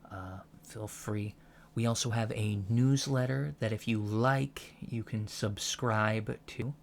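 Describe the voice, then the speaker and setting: A man playing an earnest alien college lecturer in a soft-spoken ASMR roleplay, measured and gently formal with deliberate pauses. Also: fairly low pitch, fairly even intonation, creaky, slight background noise.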